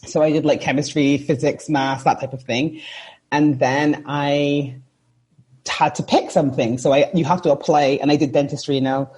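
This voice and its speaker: Mellow husky woman from Barbados. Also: deep voice, fairly even intonation, normal speed. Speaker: podcast guest. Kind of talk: casual conversation.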